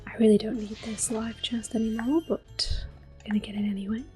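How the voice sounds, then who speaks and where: A woman giving gentle, hushed, unhurried ASMR commentary on a video game, soft-spoken and often whispering. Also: fairly high pitch, fairly even intonation. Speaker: gameplay video host. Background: game music.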